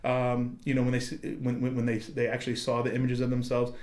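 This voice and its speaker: A middle-aged man chatting casually and loosely as a guest, often pausing to rephrase. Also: medium pitch, monotone, over a noisy crowd. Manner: loose, halting, often checking with "you know". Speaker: podcast guest, photographer. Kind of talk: casual chat. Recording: roomy, echoing sound.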